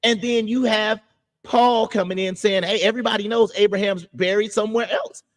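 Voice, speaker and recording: A man with a high voice hosts a casual podcast discussion. He explains step by step and repeats his points. When others interrupt, he talks over them. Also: steady tone, moderate pace. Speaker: podcast host.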